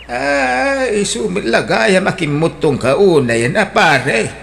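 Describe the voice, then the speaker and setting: A male actor voices a cheeky middle-aged husband in a radio drama. His voice rides high and lively with a gravelly rasp and a bright, forceful edge. He jokes around and brushes off his wife's complaints. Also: takes it slowly, a little hiss or hum, exaggerated voice. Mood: sympathetic. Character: middle-aged husband, a gift-seeking, teasing visitor. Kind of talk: Ilocano radio drama acting.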